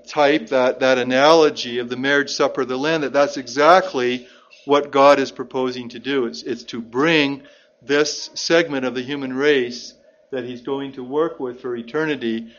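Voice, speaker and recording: A man giving a measured, explanatory bible talk as a lay preacher, pointing to slides and sometimes repeating a word as he thinks. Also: medium pitch, dull audio.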